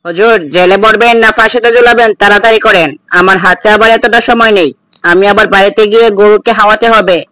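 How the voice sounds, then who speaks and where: A man's voice: sits high, fairly even intonation, fast talker, sharp tone, no echo. A man playing a cheeky male defendant who talks back in a comedy cartoon skit. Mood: cheeky, pleading.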